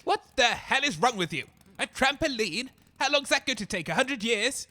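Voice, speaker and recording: An actor playing a vain, grumbling radio broadcaster and would-be artiste in an audio comedy, indignant, blustering and stammering. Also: male voice, very high voice, lively intonation, moderate pace, pushed and bright, full character voice. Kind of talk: comedic audio drama acting.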